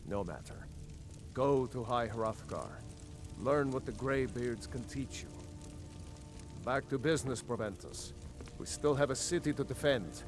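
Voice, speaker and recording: An actor playing a dignified, commanding Nord jarl, stern but gracious, in a fantasy video game. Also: male voice, medium pitch, lively intonation, a little slow, close to an everyday voice. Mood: brisk, commanding. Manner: stately, authoritative. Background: music.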